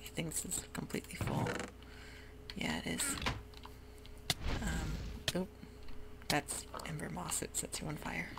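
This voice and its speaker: Low, gravelly whispering woman. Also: very noisy. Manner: soft, rambling whisper with many ums. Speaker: gaming ASMR host. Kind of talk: whispered ASMR gameplay commentary.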